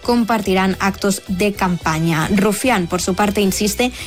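Lady reading radio news, steady, soft music behind. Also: medium pitch, measured pace. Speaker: newsreader.

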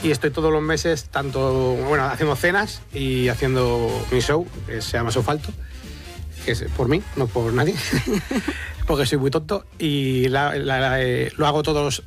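A man's voice: mid-range voice, moderate pace, faint music behind. A man from Aranjuez in central Spain with a Toledo accent, chatting casually as a comedian guest in a rambling, hesitant, self-deprecating way. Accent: Toledo, central Spanish. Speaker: stand-up comedian, interview guest. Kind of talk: casual radio chat.

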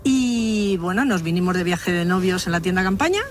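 A woman's voice: lowish voice, moderate pace, soft background music. A woman in her fifties with a Madrid accent, telling her story with humor in an outdoor radio interview. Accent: Madrid Spanish. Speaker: interviewee, biology teacher. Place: village square outdoors.

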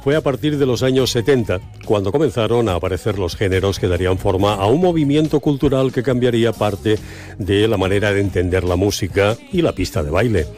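A man's voice: lowish voice, a little fast, a little mellow. A man hosting a radio show, reading a scripted intro and then chatting and joking with his guest. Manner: smooth, teasing, jokey with guest. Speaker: radio host. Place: radio studio. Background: faint music.

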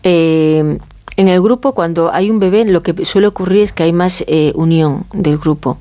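A woman from Spain with a Castilian accent speaks in a soft-edged, mellow voice pitched a little low. She explains informally as an interview guest, in a conversational way, often ending phrases with a short checking question. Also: moderate pace. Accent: Spanish from Spain. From Galicia, Spain. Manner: conversational, often seeks agreement with tag questions.

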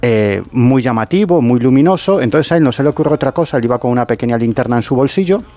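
A man's voice: mid-range voice, animated, fast talker, warm tone, faint music behind. A man from Galicia, a radio contributor, recounting a case in vivid detail. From Galicia, Spain. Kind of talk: telling a paranormal case on radio.

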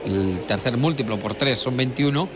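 A man's voice: medium pitch, moderate pace. A middle-aged man from La Mancha, giving discursive live commentary on a procession with many fillers and restarts. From La Mancha, Spain. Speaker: broadcast commentator. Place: outdoors at a street procession. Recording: live street broadcast with crowd noise. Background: crowd.

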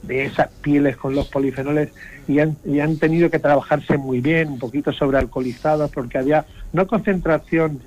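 A man's voice: on the high side, steady tone, a little fast, a little mellow, low-quality recording. A man giving wine commentary in an enthusiastic, rambling way, with many restarts. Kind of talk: casual radio chat.

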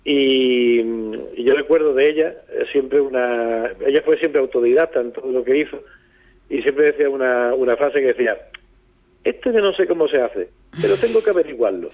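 A man, speaking reflectively as an interview guest, halting as he searches for words. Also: mid-range voice, highs cut off. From southern Spain. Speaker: poet and songwriter guest.

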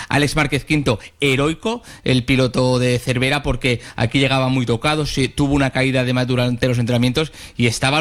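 A man giving a conversational live race report as a motorsport reporter. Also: medium pitch.

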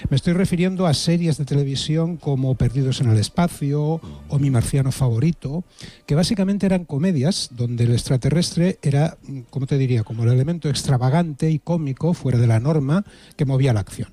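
A man's voice: mid-range voice, a little fast, warm tone, no room sound. A middle-aged or older man with an accent from Spain, giving a humorous, conversational monologue as a radio contributor, wry and rambling, often repeating words.

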